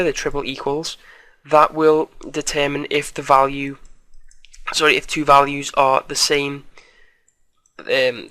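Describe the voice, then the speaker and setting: Bright-voiced man, flat delivery, slightly croaky. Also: mid-range voice, no echo. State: recovering from a cold, slightly croaky. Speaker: tutorial presenter. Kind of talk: casual screencast programming tutorial.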